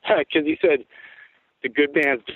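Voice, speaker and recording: Rough-voiced man in his forties, phone-like sound. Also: a little high, steady tone, slow pace, no echo. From Tacoma, Washington. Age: 40s. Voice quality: rough, worn voice. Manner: rambling, laid-back storytelling with frequent swearing. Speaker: guest musician. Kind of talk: casual interview chat. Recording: tinny phone-like line, dry.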